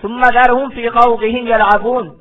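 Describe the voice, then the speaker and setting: A man's voice: extremely high pitch, little pitch movement, a little fast, muffled recording, soft background music. A man giving a religious lecture as a Quran teacher, reciting verses phrase by phrase, then translating and explaining them with didactic repetition. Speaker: Islamic scholar, Quran teacher.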